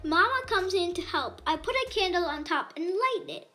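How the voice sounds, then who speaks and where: A woman reading a children's picture book aloud in an expressive, animated way with dramatic pauses and emphasis. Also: very high voice, faint music behind. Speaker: read-aloud storyteller.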